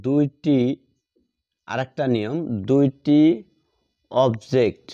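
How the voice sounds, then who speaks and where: Slow man teaching grammar. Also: mid-range voice, fairly even intonation, warm tone. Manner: slow, repeats words while writing them out. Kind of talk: slow classroom explanation while writing. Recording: dull lo-fi audio.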